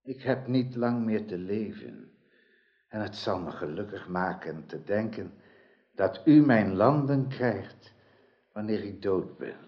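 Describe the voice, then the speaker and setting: A dull, lo-fi radio play recording of an aging lord. His voice is deep and slow, rising and falling widely as he pleads with grave, courtly formality. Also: expressive. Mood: grateful, pleading. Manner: solemn, formal archaic speech. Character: aging lord and father, grateful, pleading, near death. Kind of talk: radio drama acting.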